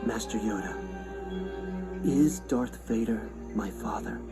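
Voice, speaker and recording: A man's voice: lowish voice, slow. An actor playing an earnest, resolute young male Jedi hero in a storybook drama. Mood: solemn. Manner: earnest, firm. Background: music.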